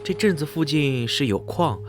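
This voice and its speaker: A man's voice: a little high, lively intonation, moderate pace, natural voice. A man playing a polite, inquisitive traveler new in town, in radio drama dialogue. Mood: intrigued. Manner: courteous, inquisitive. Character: polite, curious male traveler, newcomer in town. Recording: music behind the voice. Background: background music.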